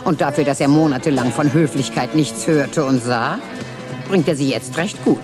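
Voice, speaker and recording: A low, dry, cynical mother. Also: moderate pace. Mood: scornful. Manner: dry, wry, drawling, with whispered asides. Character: worldly, cynical mother figure, adult woman. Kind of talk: radio play acting and first-person narration. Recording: music behind the voice.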